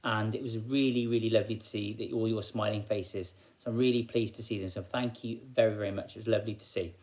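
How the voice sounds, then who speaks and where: A man's voice: fairly low pitch, fairly even intonation, quick pace, a little mellow. An English-accented man, a primary school teacher, speaking expressively to children as a storyteller.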